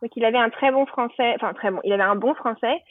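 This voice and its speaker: A woman from France tells her story in a loose, rambling way, with plenty of fillers and restarts. Her voice sits a little high and the sound is tinny and narrow, like a phone line. The room gives off no echo at all. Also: quick pace. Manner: chatty, warm storytelling with frequent fillers and restarts. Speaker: guest. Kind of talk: casual podcast conversation.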